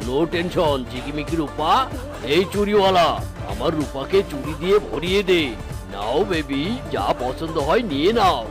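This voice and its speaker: A man doing a gravelly blustering tiger, raised voice, cartoon with music behind. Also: a little high, sing-song, moderate pace. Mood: showing off. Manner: blustering, wailing when scared. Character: boastful lovesick tiger, grown male.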